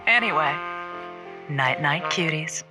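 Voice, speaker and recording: A woman's voice: low-pitched, expressive, takes it slowly, loud music behind the voice. A woman playing a flirty, encouraging late-night radio advice hostess with playful, breezy patter in a comedy audio drama. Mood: flirty upbeat.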